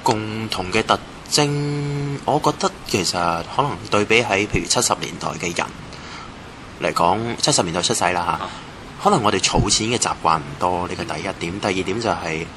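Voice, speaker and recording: A man from Hong Kong with a local accent, giving thoughtful, halting interview answers with some English words mixed in. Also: low-pitched. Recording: clearly noisy ambient background. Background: ambient noise.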